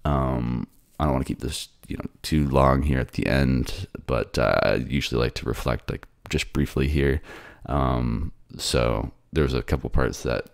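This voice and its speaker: A man with an extremely deep voice and a lot of fry, narrating and talking as a voice actor. The recording is close and dry. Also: normal speed, few pauses, dry recording. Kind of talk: scripted story narration and casual sign-off.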